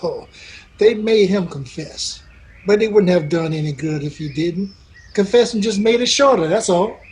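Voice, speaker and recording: A man's voice: high voice, normal speed, darkish tone, husky. A man playing a devout, sorrowful cowhand in a radio western, speaking in a humble, folksy, deferential way. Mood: solemn, sorrowful. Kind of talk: old-time radio western acting.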